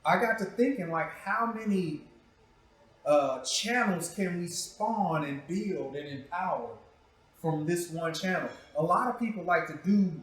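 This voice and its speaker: Echoey, higher-voiced man hosting casually. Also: a little slow, fairly bright tone. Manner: informal, playful, teasing, repeats himself. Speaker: talk show host. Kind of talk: casual interview chat, profane joking. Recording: very echoey room, distant mic.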